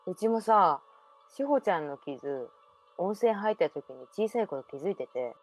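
A woman's voice: on the low side, expressive, moderate pace, soft background music. A woman performing in an amateur radio drama as a young woman who confides memories of a past life. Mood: mysterious.

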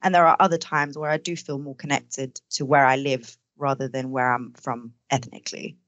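A woman's voice: extremely low pitch, fairly even intonation, normal speed, fairly bright tone, no echo, highs cut off. A woman born in Denmark with East African heritage, a podcast panel guest speaking reflectively and candidly. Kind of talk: conversational podcast discussion.

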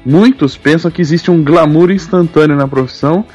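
A man's voice: a little high, moderate pace, darkish tone, husky, faint music behind. A man hosting a talk show, teasing his co-host and reading out listener mail. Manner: joking, teasing banter. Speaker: podcast host.

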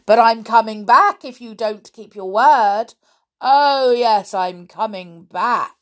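A woman voicing a stern, solemn invisible male figure from a storybook, firing accusing questions in a booming voice. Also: lively intonation, takes it slowly. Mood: solemn warning.

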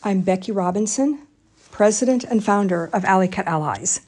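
A woman with a mid-pitched voice reads a prepared statement as head of an organization. She is formal and measured, placing emphasis on her key claims. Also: expressive, darkish tone. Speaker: organization president and founder.

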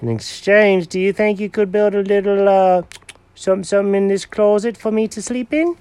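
A man doing a high, dramatic cat. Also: a little fast, darkish tone, clean tone, no echo, natural voice. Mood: wheedling. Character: excitable male cat, dramatic and bossy.

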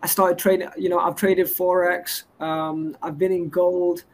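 A man's voice: sits high, level tone, a little slow. A man, a guest rambling through his business credentials in a casual interview. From England. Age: 20s. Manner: boastful and rambling, stacks up credentials. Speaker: guest entrepreneur.

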